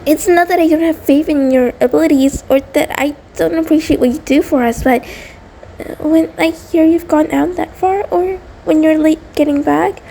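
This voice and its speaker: A woman's voice: high voice, moderate pace, gravelly, mellow, slight background noise. A woman playing an anxious, affectionate female centipede monster, nervous, stammering and apologetic, in an intimate roleplay audio drama. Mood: worried, tearful.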